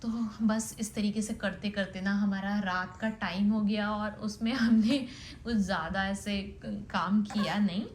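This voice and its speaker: A woman's voice: mid-range voice, steady tone, a little slow. A young woman talks casually, as in a personal audio diary. She rambles in a conversational way and drops in English words. The recording is noticeably roomy, with faint noise behind her. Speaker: vlogger. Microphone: phone set down nearby. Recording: roomy echo with background noise.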